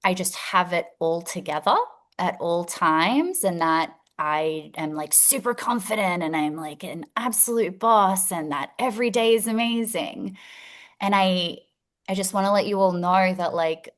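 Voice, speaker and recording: A woman's voice: fairly low pitch, measured pace. Woman, second-language English, casual chat, open and chatty, laughs often. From Italy. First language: Italian. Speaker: featured guest educator. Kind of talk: casual chat on a video call.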